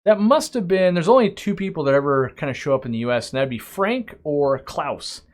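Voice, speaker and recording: A man's voice: fairly high pitch, expressive, a little fast. A man hosting a casual live-stream Q&A. He reads viewer questions aloud and answers them in a rambling, chatty way, often restarting his sentences. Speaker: aquarium shop owner hosting a live stream.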